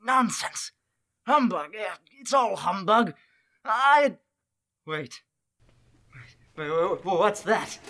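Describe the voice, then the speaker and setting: An actor as a sharp-voiced, grumpy old miser. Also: mid-range voice, expressive, slow pace, long pauses, a little hiss or hum, full character voice. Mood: alarmed, blustering. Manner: curt, dismissive, grumbling. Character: miserly, grumpy old man, cold and scornful. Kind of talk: old-time radio drama acting.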